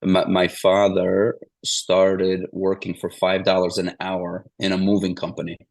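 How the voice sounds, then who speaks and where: Forties male guest from Ukraine; deep, even delivery with little movement, meandering with restarts. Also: unhurried, vocal fry, no room sound, poor audio. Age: 40s. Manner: meandering, restarts, repeats "the bottom line is". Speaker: interview guest. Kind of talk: casual interview storytelling.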